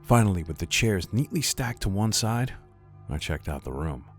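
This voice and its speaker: A man's voice: deep voice, sing-song, moderate pace. A man reading a scripted first-person scary story in a dramatic, ominous manner. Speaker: horror story narrator. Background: faint music.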